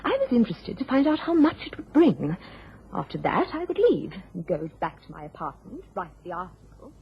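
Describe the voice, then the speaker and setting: A woman's voice: lowish voice, sing-song, fairly quick, gravelly, slightly rough, exaggerated voice. A woman playing a polite, composed lady journalist in a period radio drama, speaking formally and earnestly. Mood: earnest recounting. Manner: well-mannered, formal, earnest. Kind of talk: British period radio drama acting and narration. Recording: muffled old radio recording.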